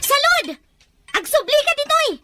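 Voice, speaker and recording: Actress as bossy scheming filipino wife, really high voice, swings all over, radio drama. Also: female voice, big pitch swings, moderate pace, heavy background noise. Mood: bossy, irritated. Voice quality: high-pitched. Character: scheming, bossy wife secretly poisoning her rich husband. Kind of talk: Ilocano radio drama acting.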